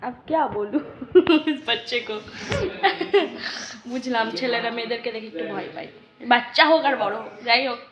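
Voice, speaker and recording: A young adult woman, telling a story casually in a teasing, animated way and often checking that the listener follows. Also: a little high, normal speed, a crowd in the background. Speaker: vlogger. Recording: dull lo-fi recording.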